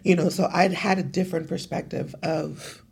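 A woman from Cameroon, a podcast guest telling her life story in a casual, animated way with some laughter. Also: fairly low pitch, moderate pace, vocal fry, breathy edge.

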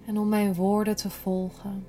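A woman guiding a yoga nidra relaxation in a gentle, soothing, unhurried manner, breathy at times. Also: medium pitch, little pitch movement, soft background music. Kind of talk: slow guided relaxation meditation.

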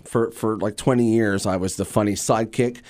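A middle-aged American man, an actor, chatting casually as an interview guest and telling stories in an animated way. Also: fairly low pitch, brisk. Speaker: guest, stage actor and radio drama producer.

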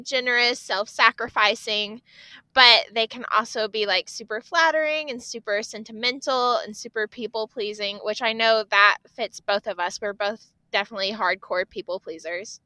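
Young female co-host, bright tense voice, eager and gushy, dry room. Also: a little high, moderate pace, dry recording. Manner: enthusiastic, gasps and laughs often. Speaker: podcast co-host. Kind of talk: casual chat.

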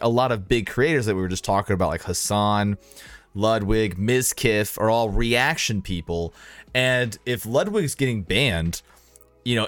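A man's voice: medium pitch, dry recording. A man hosting a casual podcast chat about streaming, giving opinions and repeating himself for emphasis.

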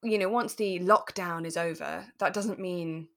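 A woman co-hosting a podcast, explaining things step by step in a relaxed, conversational way. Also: on the low side, unhurried, gravelly. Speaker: podcast co-host.

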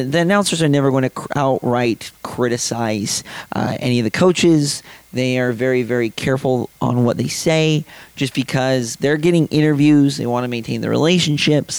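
A young man in his twenties hosting a casual sports podcast, his voice a little raspy from late nights, rambling with many fillers and restarts. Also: mid-range voice, darkish tone, dry recording. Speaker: podcast host.

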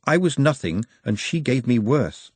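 Casting: man voicing a solemn, literal dwarf, husky and dry, slow deliberate lines. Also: medium pitch, big pitch swings, darkish tone, dry recording. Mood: earnest. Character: earnest formal male dwarf, plain-spoken and serious.

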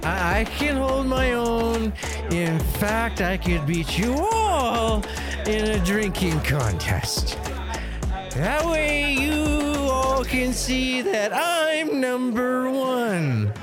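A man's voice: extremely high pitch, big pitch swings, very slow, music in the background, heavily acted. A man playing a drunk, resentful, boastful brother in an audio drama, whiny and belligerent. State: drunk (acted).

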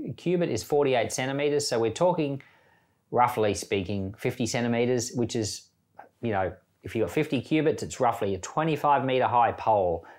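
A middle-aged man who reads a Bible passage aloud, then talks it through in a relaxed way, thinking aloud with small fillers. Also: mid-range voice. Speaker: church Bible reader. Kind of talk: scripture reading and informal commentary.

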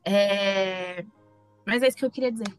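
A woman's voice: medium pitch, normal speed, over music. A Brazilian woman on a podcast panel, chatting casually in a blunt, slangy, emphatic way. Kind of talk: casual group chat.

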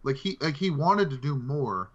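A man's voice: medium pitch, big pitch swings, moderate pace. A man co-hosting a podcast in casual conversation about movies, on a dull, muffled recording. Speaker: podcast co-host.

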